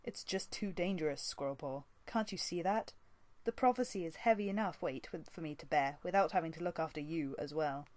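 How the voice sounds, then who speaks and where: Male warrior cat for fantasy audiobook, voiced by a woman: earnest, burdened, firm, a little rough-edged, close dry sound. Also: sits low, fairly quick, darkish tone, dry recording, natural voice. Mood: firm refusal.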